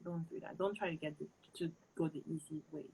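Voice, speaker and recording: A woman's voice: deep voice, steady tone, fairly quick, gravelly, mellow, rough recording. A young woman from Cameroon whose first language is not English, giving earnest and direct advice as an interview guest. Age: young adult. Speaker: interview guest, PhD student.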